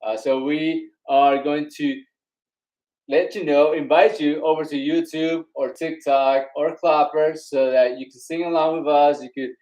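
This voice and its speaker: A man's voice: a little high, flat delivery, unhurried, darkish tone, low-quality recording. A Colombian man with an accent, co-hosting a live worship stream in a loose, rambling way. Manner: easygoing, rambling, with many fillers. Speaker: co-host of an online worship show. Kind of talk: casual live-stream chat. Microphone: live stream on phones and devices.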